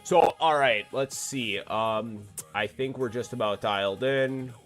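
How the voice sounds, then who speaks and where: A man's voice: mid-range voice, measured pace, faint music behind. Laid-back nasal young-sounding man streaming. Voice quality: slightly nasal. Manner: laid-back, swears freely, talks to chat. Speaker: gaming streamer. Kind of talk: casual live-stream chat while playing.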